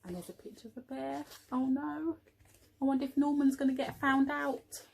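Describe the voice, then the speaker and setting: A woman reading a picture book aloud to young children, expressively, pausing for little asides to the listeners. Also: high voice, steady tone, vocal fry, a little mellow. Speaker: early years teaching assistant reading a storybook.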